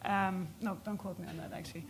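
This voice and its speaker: A woman giving halting, explanatory answers as an expert speaker in an unscripted question session at a policy event. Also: medium pitch, steady tone, slight background noise.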